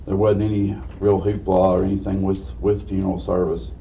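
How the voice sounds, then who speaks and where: Low raspy man, distant and muffled. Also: level tone, a little fast, mellow. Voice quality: slightly raspy. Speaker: guest lecturer. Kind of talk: informal history lecture. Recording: distant mic, dull muffled sound, faint noise.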